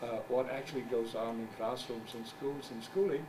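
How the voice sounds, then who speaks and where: A middle-aged man with a German first language gives a conference presentation, halting and searching for his English words. His voice sits at an ordinary middle pitch. It is picked up from a distance, with steady noise in the background. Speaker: journal editor giving a talk. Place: conference room.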